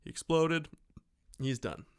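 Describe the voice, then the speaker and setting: Clear-voiced man chatting about movies, dry close sound. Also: fairly high pitch, expressive, slow pace, no room sound. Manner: rambling storyteller, voices imagined dialogue; joking, sarcastic asides. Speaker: podcast co-host. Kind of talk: casual movie discussion.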